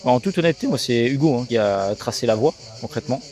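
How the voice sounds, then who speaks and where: A man's voice: mid-range voice, lively intonation, fast, a little mellow. A man in his thirties from Alsace giving a talkative, casual interview, peppered with business jargon. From Alsace, France. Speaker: sales agency co-founder being interviewed.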